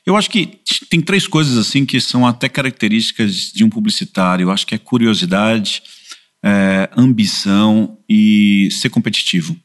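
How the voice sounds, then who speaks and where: A middle-aged man from Salvador, Brazil, with a Bahian accent, talking reflectively in an interview as a guest, with many pauses and fillers. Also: lowish voice, expressive. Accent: Bahian Brazilian Portuguese. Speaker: advertising executive guest. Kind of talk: casual interview. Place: podcast studio, in person.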